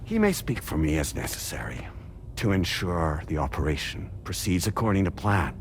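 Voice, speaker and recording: An actor playing a stately, courteous corporate president in a game, with a formal, deliberate, authoritative delivery. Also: male voice, deep voice, very animated, vocal fry, slight background noise, exaggerated voice. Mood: courteous, assured. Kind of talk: scripted game cutscene speech.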